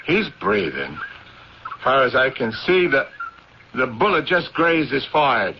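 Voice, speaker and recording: An actor playing a steady, folksy male police officer in a radio drama. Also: mid-range voice, a little slow, vocal fry. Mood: matter-of-fact. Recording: noisy muffled radio drama tape.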